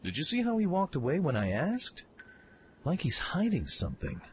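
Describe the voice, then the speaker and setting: Man voicing eager young mech pilot, brash, very animated intonation, informal, audio drama, slight ambient noise. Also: a little high, moderate pace. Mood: suspicious, musing. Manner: excitable, informal, impulsive. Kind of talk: fan audio drama acting.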